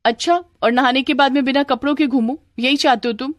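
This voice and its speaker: A woman's voice: on the high side, fairly quick, dry recording, pitched above the performer's own voice, lightly acted. A woman voicing a childish, stubborn, melodramatic young married woman in a cartoon, with whiny complaints. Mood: bossy.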